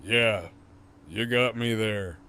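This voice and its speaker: A man acting a gruff, sardonic, wounded captive in an audio drama, with a gravelly voice and a wry drawl. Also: lowish voice, pushed and bright. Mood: subdued, sincere. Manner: slow, wry, teasing drawl.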